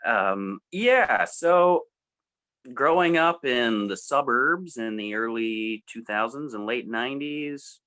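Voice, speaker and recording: Bright-voiced American man chatting casually. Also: mid-range voice, lively intonation, slow pace. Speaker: music show co-host. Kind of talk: casual chat.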